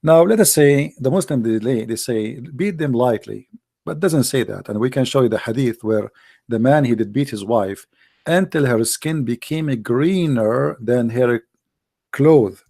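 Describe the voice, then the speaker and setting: Arabic-accented male host, gravelly and dark-toned, emphatic delivery, very dry sound. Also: mid-range voice, unhurried, no echo. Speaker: host taking calls. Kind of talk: call-in debate show.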